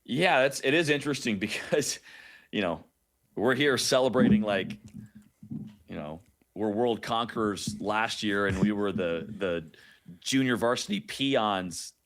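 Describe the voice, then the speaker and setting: Male sports analyst, lower register, informal guest-interview delivery with wry asides. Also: measured pace, a bit bright. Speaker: radio play-by-play announcer as guest analyst.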